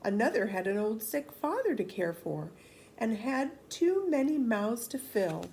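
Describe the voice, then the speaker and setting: Female children's storybook reader, animated and deliberate, very varied pitch, slight noise behind. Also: low-quality recording. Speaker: children's read-aloud storyteller. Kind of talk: expressive storybook narration.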